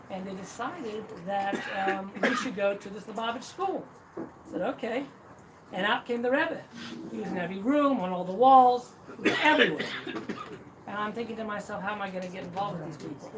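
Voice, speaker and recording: A man's voice: high voice, fairly quick. A middle-aged man from Ohio telling a self-deprecating, joking personal story to a crowd. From Cincinnati, Ohio, US. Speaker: speaker at a celebration. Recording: distant mic, noisy hall.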